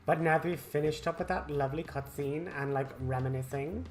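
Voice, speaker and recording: A man's voice: a little high, steady tone, a little fast, soft background music. A man giving casual, chatty let's play commentary with teasing asides. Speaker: gaming video host.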